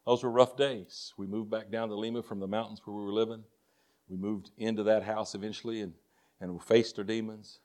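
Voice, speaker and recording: A man's voice: on the low side, level tone, brisk, darkish tone. An older man with a Texas drawl preaches to a congregation, weaving folksy personal stories into his sermon. He talks earnestly, often restarting and repeating words as he goes. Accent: Texan. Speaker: missionary preacher.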